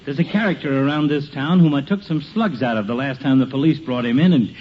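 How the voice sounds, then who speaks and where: An American actor playing a dryly sarcastic small-town doctor with a deliberate, teasing manner in a 1950s radio comedy. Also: male voice, mid-range voice, close to an everyday voice. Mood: businesslike. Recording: noisy, muffled 1950 radio recording.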